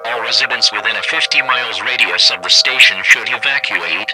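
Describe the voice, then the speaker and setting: Bright, forceful male emergency announcer. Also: medium pitch, fairly quick, soft background music, rough recording. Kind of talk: scripted emergency broadcast announcement.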